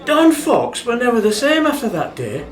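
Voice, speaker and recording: An actor with a Northern English accent playing an impassioned rugby fan in a radio play, brooding over an old defeat. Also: male voice, very high voice, wildly swooping pitch, normal speed, heavily acted. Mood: indignant sorrow. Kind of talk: dramatic radio play retelling. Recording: roomy, echoing sound.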